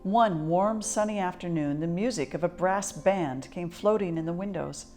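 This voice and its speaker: A woman with a lowish voice reads a children's storybook as storyteller. Her reading is expressive, measured and articulate, with faint music around it.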